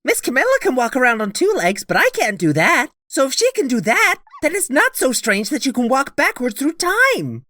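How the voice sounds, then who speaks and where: A woman voicing an excitable, earnest little Pomeranian spirit, fiercely loyal, in a game fandub. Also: sits high, normal speed, hardly any pauses, full character voice. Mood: cheerful, matter-of-fact. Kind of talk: animated game fandub acting.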